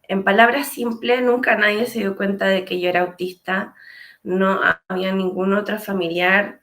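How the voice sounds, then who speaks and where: Chilean woman, late thirties, gravelly edge, measured reflective talk, pauses and hesitations. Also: mid-range voice, a bit bright. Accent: Chilean Spanish. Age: late 30s. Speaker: speaker sharing her story. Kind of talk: personal testimony talk.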